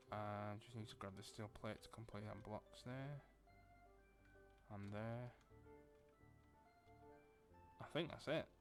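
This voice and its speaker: A low-voiced man with a Lancashire accent talks his way through a building game, half muttering as he works out his next step. Faint music and keyboard clicks sit behind him. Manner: thinking aloud, muttering while building. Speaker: gaming video creator. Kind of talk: casual gameplay commentary.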